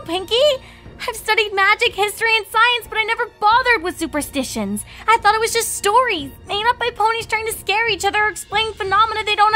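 A woman's voice: very high voice, sharp tone, voice pushed up, exaggerated voice. A woman voicing an earnest, studious female unicorn pony who gets exasperated, in a cartoon story reading.

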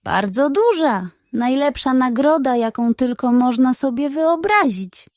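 A woman voicing a sly, self-satisfied male pug, boastful and excitable, in a children's audiobook. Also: high-pitched, measured pace, no echo, clean studio sound. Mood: brisk, evasive.

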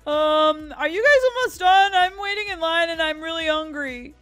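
A woman's voice: extremely high pitch, lively intonation, moderate pace, a bit bright, dry recording, soft background music. A woman voicing a pushy, hungry customer in a video game roleplay, whiny and pleading. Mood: impatient, whiny.

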